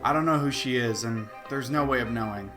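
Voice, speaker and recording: A man's voice: medium pitch, a little fast, music in the background. Sarcastic young man recapping a film. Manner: sarcastic, wry. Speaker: video host reviewing a film. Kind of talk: comedic movie recap.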